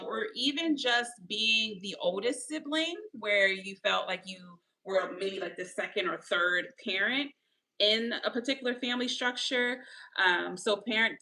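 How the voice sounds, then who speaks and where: A woman in her thirties with a slightly nasal voice, hosting a live mindfulness talk. She explains slowly, repeats her definitions, and checks in often with "okay?" and "right?". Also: mid-range voice, creaky, sharp tone, poor audio. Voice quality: a little nasal from allergies. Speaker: mindfulness coach and livestream host. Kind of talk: live-streamed mindfulness teaching.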